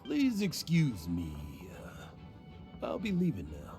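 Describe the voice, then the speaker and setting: Boastful old game adventurer: slow, hammy, hugely animated delivery. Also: male voice, on the high side, soft background music, exaggerated voice. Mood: sheepish. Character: boastful old male adventurer, self-styled legend, theatrical. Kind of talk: video game character acting.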